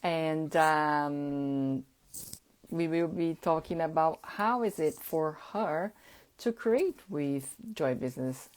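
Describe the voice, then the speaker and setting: A woman whose first language is Portuguese, hosting a casual live-stream chat at an unhurried pace, with frequent fillers. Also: low-pitched, darkish tone. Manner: unhurried, chatty, many fillers. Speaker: host.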